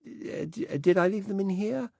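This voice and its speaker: A man's voice: high voice, moderate pace, vocal fry, mellow, dry recording, studio quality, pitched above the performer's own voice. A man doing a dithering old British aristocrat. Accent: British upper-class. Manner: dithering, stammering, apologetic. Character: elderly upper-class British male official, absent-minded.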